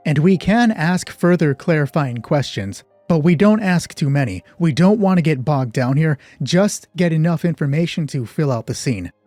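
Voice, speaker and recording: A man narrating a solo tabletop horror roleplay as game master in measured, short descriptive phrases. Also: a little high, darkish tone, no echo. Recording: music behind the voice. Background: music.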